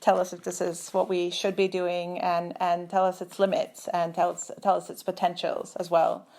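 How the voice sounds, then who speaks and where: A woman's voice: fairly low pitch, completely flat delivery, moderate pace, darkish tone. A middle-aged woman from Turkey, a computer scientist speaking as an interview guest over a video call, thoughtful and full of fillers and restarts. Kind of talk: conversational interview.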